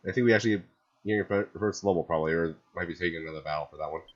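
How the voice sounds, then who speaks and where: A man with a hoarse voice from a cold, casually commenting on a video game and rambling with lots of fillers. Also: low voice, racing, lo-fi sound. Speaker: gameplay streamer. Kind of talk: casual let's-play commentary. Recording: gameplay with loud game music.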